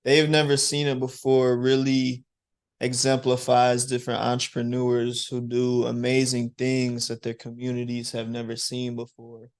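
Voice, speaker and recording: Slow, even-toned young male host. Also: mid-range voice, vocal fry. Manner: slow, even, encouraging questions. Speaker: host and student interviewer. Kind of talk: podcast interview hosting.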